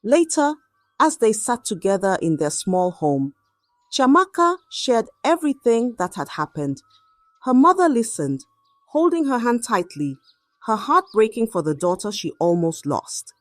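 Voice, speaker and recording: A woman telling a dramatic scripted story in an expressive, measured and suspenseful way. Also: a little high, no echo, faint music behind.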